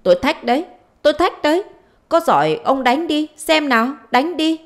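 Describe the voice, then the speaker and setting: A woman's voice: mid-range voice, animated, moderate pace, lightly acted. A woman voicing a spiteful, foul-mouthed middle-aged village wife in a story, scolding, wailing and taunting.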